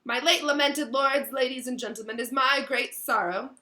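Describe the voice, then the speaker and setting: A woman voicing a proud, stiff, easily wounded gentleman ghost with a formal manner, in a storybook reading. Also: sits high, moderate pace, voice pushed up. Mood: pompous. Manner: formal, pompous. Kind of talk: storybook read-aloud.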